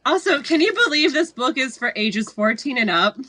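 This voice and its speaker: A woman's voice: high-pitched, very animated, normal speed, sharp tone. A woman co-hosting a casual book discussion podcast, speaking in a wry, candid way. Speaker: podcast co-host.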